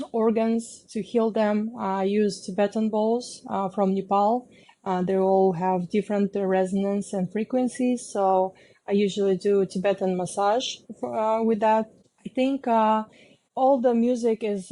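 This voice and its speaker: Slow, mellow woman. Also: medium pitch. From Kazakhstan. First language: Kazakh. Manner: halting, many fillers and pauses. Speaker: guest, sound healer and musician. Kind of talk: reflective interview answers.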